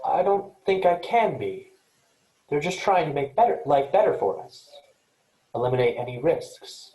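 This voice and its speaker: A woman's voice: low voice, very animated, normal speed, dark tone, echoey room, rough recording. A woman playing an earnest, insistent, rule-abiding programmer aboard a spaceship in a virtual staged play reading. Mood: calm, resigned.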